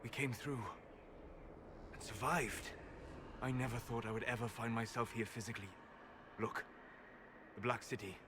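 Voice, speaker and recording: An actor playing a calm, scholarly male mage who speaks in a measured, quietly awed way in a game cutscene. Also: mid-range voice, background noise, lightly acted. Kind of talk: video game cutscene acting.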